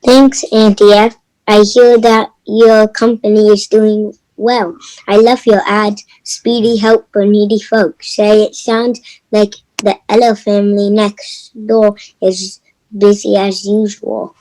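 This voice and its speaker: A woman reading a friendly, helpful neighbor in a fairy-tale readers theater, gentle and encouraging. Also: medium pitch, level tone, slow pace, close to an everyday voice, rough recording. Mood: chatty.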